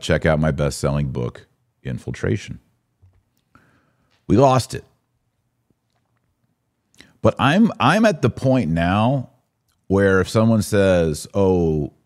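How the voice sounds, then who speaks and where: A man's voice: low voice, very animated, a little slow, pauses often, a little mellow. A man hosting a podcast, giving opinionated live commentary in an emphatic way, with rhetorical questions and asides to his listeners. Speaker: podcast host.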